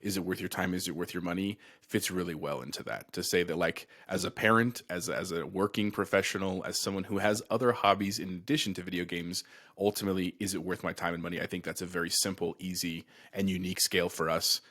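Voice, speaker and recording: A man's voice: sits low, fairly even intonation, quick pace, a bit bright. A man in his thirties co-hosting a casual gaming podcast, speaking earnestly and with passion. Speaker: podcast co-host.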